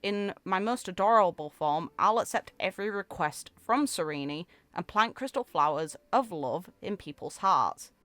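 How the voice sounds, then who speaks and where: A woman's voice: on the low side, animated, moderate pace, few pauses, a bit bright, no room sound. A woman voicing a sweet, wistful young heroine from a game story, in a tender storybook manner. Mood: sweet, playful.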